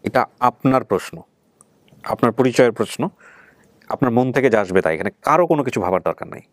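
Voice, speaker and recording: A man's voice: medium pitch, animated, fairly quick, no echo, studio quality. A man with a Bangladeshi accent hosting and interviewing conversationally, explaining things and probing with rephrased questions. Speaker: show host. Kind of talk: conversational interviewing on a family reunion show.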